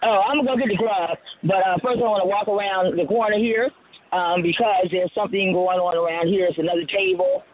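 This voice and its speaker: High-voiced man streaming live outdoors. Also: steady tone, brisk. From Colombia. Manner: casual, rambling with fillers. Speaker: livestream street interviewer. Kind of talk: live street reporting. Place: outdoors on a city street. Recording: tinny phone-like livestream audio.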